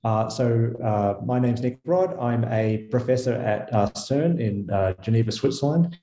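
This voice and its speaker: A man's voice: medium pitch, measured pace, mellow, reverberant. A man with an Australian accent, a visiting physicist, answering questions on a radio show in a thoughtful way, with frequent fillers and restarts. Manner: thoughtful, self-correcting, many fillers. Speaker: guest physicist.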